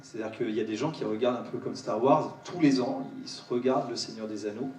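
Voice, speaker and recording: Male panel host, halting, repetitive delivery, recorded in a reverberant hall. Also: medium pitch, darkish tone. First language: French. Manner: halting, repeats words for emphasis. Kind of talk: spontaneous spoken remarks at a live panel. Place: large cinema auditorium. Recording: echoey hall, distant microphone.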